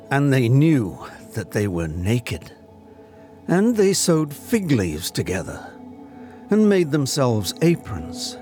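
A man giving a solemn, deliberate, theatrical dramatic reading of scripture as a trained stage actor would. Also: mid-range voice.

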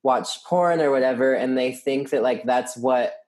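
A young man in his twenties talks openly in a relaxed conversation. He laughs often and drops the odd swear word. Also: mid-range voice, moderate pace. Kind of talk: casual chat.

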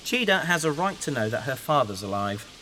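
Earnest space researcher, mid-low voice, swoops around a lot, bit of hiss. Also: female voice, very animated, fairly quick, fairly bright tone, exaggerated voice, low-quality recording. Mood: earnest, persuading. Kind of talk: audio drama acting. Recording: faint hiss behind the voice.

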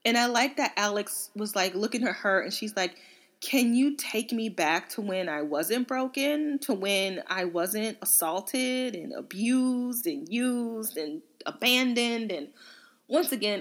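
A woman's voice: medium pitch, fairly bright tone. A woman hosting a book podcast, casually recounting and analyzing a story in a conversational way, informally acting out the odd quote. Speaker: book reviewer and podcast host.

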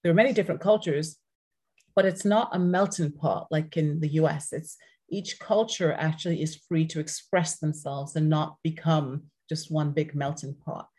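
A low-voiced woman who grew up speaking French answers questions as a podcast guest. She talks earnestly and steadily, now and then restarting a phrase. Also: fairly even intonation, moderate pace, a little mellow, husky. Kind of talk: casual interview conversation.

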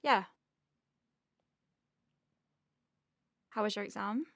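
A woman's voice: mid-range voice, fast, vocal fry, lightly acted. An actress playing an anxious, wry woman medic piloting a tiny craft in a sci-fi audio drama, hesitant under pressure. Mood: subdued, awkward.

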